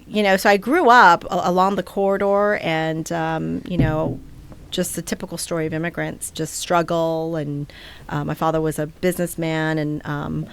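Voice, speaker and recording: Casting: woman in her forties, a bit low, chatty guest who rambles and laughs easily. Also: steady tone, normal speed, vocal fry, smooth. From Taiwan. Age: 40s. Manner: loose and rambling, many fillers, laughs easily. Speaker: nonprofit executive director, guest. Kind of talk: casual interview chat.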